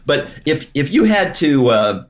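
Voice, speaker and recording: A man's voice: on the high side, big pitch swings. Hungarian-accented male podcast host. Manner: halting, with fillers and restarts. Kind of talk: casual interview chat.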